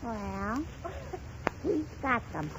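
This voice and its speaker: A woman's voice: on the high side, very animated, extremely slow, exaggerated voice. A woman playing a mischievous, naive little girl who pesters with questions in a 1930s radio comedy. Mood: innocent pestering. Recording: muffled, hissy 1930s radio recording.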